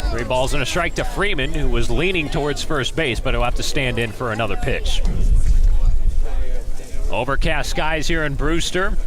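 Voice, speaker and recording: A man calling baseball play-by-play as live radio commentary from an outdoor ballpark, rising excitedly on big plays. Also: a little high.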